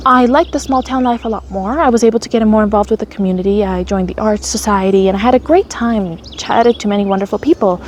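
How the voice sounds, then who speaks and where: A woman's voice: mid-range voice, darkish tone, a little hiss or hum. A woman, telling her own story in a candid, wry, conversational way. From Canada. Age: 30s. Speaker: vlogger. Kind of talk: personal storytelling.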